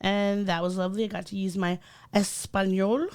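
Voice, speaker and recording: A young woman chats on a podcast with a stuffy, slightly nasal voice from a cold. She teases her co-host playfully and laughs easily, speaking at a mid pitch. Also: level tone, moderate pace, a little mellow, clean tone. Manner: teasing, playful, laughs often. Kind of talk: casual podcast chat.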